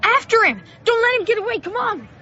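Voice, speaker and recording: A man's voice: extremely high pitch, very animated, fairly quick, pushed and bright, exaggerated voice. An actor playing a reckless, defiant boy eager to grow up, in a radio drama. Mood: excited, urgent. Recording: muffled radio broadcast with faint noise.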